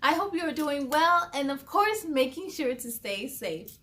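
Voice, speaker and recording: High-pitched woman reading children's stories, unhurried. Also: sing-song, a bit bright. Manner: deliberate, sing-song, addressing young listeners. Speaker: host of a read-aloud story series. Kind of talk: expressive children's storybook reading.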